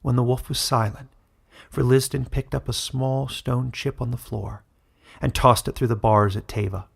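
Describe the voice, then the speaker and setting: A man reads dramatic fantasy fiction as its storyteller. His mid-pitched voice is husky and creaky, suited to scripted narration. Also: steady tone, moderate pace.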